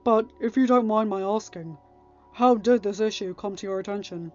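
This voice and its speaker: A woman's voice: mid-range voice, moderate pace, darkish tone, muffled recording, soft background music, natural voice. A woman voicing a polite, concerned older former king with a formal, deferential manner in a storybook reading. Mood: polite, questioning.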